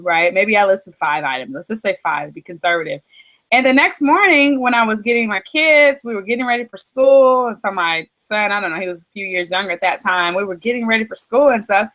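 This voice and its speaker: A hoarse woman, middle-aged, with a bright, pushed-forward voice. She tells her story casually and with lots of expression, acting out what she thought and said at the time. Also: mid-range voice, low-quality recording. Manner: animated storytelling, acting out her own reactions. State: losing her voice. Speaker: interview guest, online seller.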